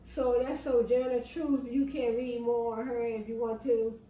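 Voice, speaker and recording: Halting woman reading history notes aloud. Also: fairly high pitch, little pitch movement, mellow, distant mic. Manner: halting, many fillers, rereads lines then restates them. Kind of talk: casual reading and explaining aloud. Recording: echoey room, voice off-mic, faint background hum.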